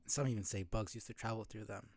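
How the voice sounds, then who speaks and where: A man's voice: on the low side, fairly even intonation, fast talker, crowd noise, lightly acted. A man voicing a weary, devout bug pilgrim from a video game, solemn and welcoming in old-fashioned phrasing. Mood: hushed, devout. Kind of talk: reading game dialogue aloud.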